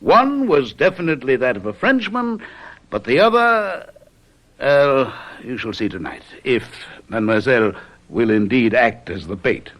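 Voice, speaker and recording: An actor playing a confident, theatrical detective in a radio mystery drama, speaking in a deliberate, emphatic, urbane way. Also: male voice, medium pitch, big pitch swings, a bit bright, low-quality recording. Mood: assured, sly. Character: confident, theatrical male detective lecturing his companions.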